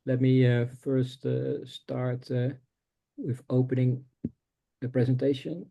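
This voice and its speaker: A man whose first language is Dutch, presenting market research in a webinar, halting with many filler sounds. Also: medium pitch, level tone, vocal fry, muffled recording. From Netherlands.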